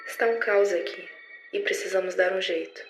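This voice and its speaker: A woman's voice: medium pitch, moderate pace, faint music behind, close to an everyday voice. A Brazilian woman playing a frightened agent in an audio drama, reporting a catastrophe. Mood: grave, tense. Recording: echoey, noisy room with ambience.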